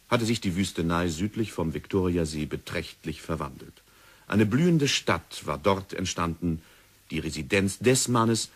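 A male narrator tells the story in a measured, sober way. His voice is very deep and husky, like a vintage radio documentary. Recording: old radio play recording.

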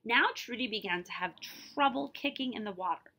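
A woman's voice: on the high side, expressive, brisk, pushed and bright. A woman in her forties reading a picture book aloud expressively, breaking off to explain and check in with listeners. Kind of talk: animated storybook read-aloud with explanatory asides.

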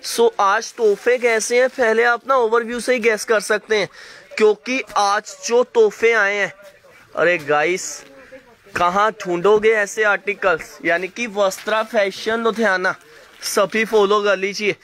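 A man running a fast live sale of clothing, calling viewers by name and coughing from a sore throat. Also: sits high, fairly even intonation, fairly bright tone. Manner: fast hyped patter, addresses viewers by name. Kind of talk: live sales pitch to viewers. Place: indoor shop floor, clothes laid on mat.

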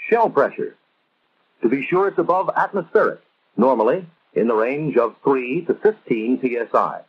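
An American man narrating a 1950s instructional film in a measured, emphatic, teacherly way, on an old film soundtrack. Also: medium pitch, lively intonation, husky, highs cut off.

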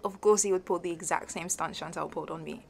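A woman's voice: lowish voice, fairly even intonation, quick pace, vocal fry, a little hiss or hum. A woman playing a playful, shyly fond young best friend in an intimate audio roleplay, giggly and hesitant. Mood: amused, incredulous. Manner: giggly, hesitant, soft trailing asides. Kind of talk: intimate audio roleplay, one side of a conversation.

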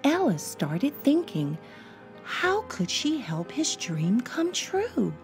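A woman narrating a children's storybook as an expressive read-aloud. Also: on the high side, takes it slowly. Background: soft music.

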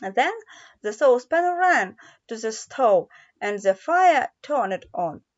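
A woman narrating a children's story in a careful, halting read-aloud way. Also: on the high side, big pitch swings. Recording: dull lo-fi recording.

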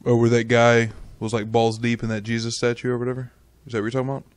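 A man's voice: medium pitch, monotone, quick pace. A man co-hosting a podcast with casual, profane banter. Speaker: podcast co-host.

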